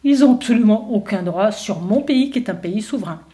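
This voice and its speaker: A woman's voice: medium pitch, lively intonation, a little fast, mellow, lightly acted. A woman voicing a stubborn shepherd who defends his homeland, indignant and incredulous, with lots of hesitations.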